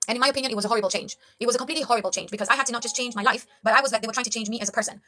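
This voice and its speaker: Young kenyan woman, motivational vlog, super fast, sharp bright voice, keeps saying guys. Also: fairly high pitch. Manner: earnest, preachy, addresses viewers as guys. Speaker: life coach vlogger. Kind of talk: motivational talk to camera.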